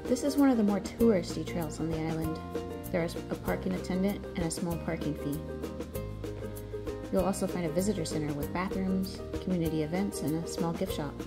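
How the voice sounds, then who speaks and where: A woman hosting a travel vlog, reading scripted narration in a measured, informative way. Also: on the low side. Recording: loud music under the voice. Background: music.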